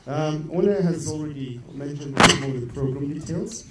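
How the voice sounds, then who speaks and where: A man's voice: on the high side, moderate pace. A man from Kazakhstan, an executive at the host company, giving housekeeping notes at a seminar, hesitant with many fillers and repeated words. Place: conference hall. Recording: echoey hall, far from the mic, light noise.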